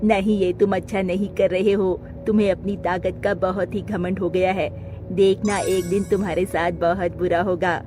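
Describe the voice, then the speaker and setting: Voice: mid-range voice, level tone, normal speed, music in the background, natural voice. A performer voicing a timid male pigeon, a worried little father who pleads in a children's cartoon. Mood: reproachful. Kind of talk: Hindi children's cartoon voice acting.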